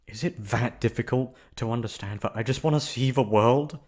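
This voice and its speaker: A man's voice: mid-range voice, normal speed, darkish tone, voice pushed up, natural voice. A man voicing a young runaway princess, an upset and defiant little sister who speaks in emotional outbursts. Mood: frightened, defiant.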